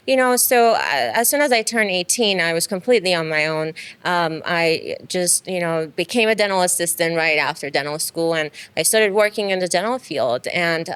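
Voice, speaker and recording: Soft-spoken Iranian-born woman, smooth low voice. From Iran. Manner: soft-spoken, frequent um fillers. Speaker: guest. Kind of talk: casual interview.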